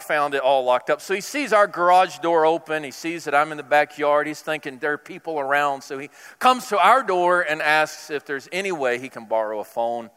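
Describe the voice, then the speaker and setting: Male preacher, conversational storyteller who acts out dialogue; bright, crisp delivery, big reverberant room. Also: fairly high pitch. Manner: conversational, animated retelling with acted-out dialogue. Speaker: pastor preaching. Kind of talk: sermon storytelling. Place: large hall, speaking to a congregation. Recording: reverberant hall.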